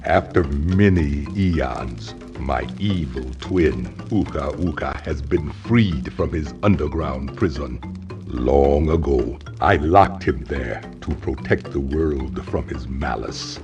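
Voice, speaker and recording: A man's voice: a bass voice, slow, over music, full character voice. A man voicing a wise, ancient guardian spirit in a video game, speaking in a solemn, grave, fatherly manner. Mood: solemn, guiding. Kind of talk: video game cutscene voice acting.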